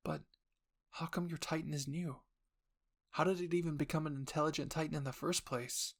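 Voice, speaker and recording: Creaky-voiced man narrating a story. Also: fairly high pitch, steady tone, moderate pace. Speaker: narrator and host of a fan fiction roleplay channel. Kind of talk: scripted second-person story narration.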